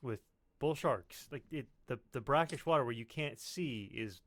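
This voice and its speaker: A man's voice: mid-range voice, normal speed, fairly bright tone, no room sound. A man co-hosting a podcast with casual, wisecracking banter. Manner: joking, wisecracking.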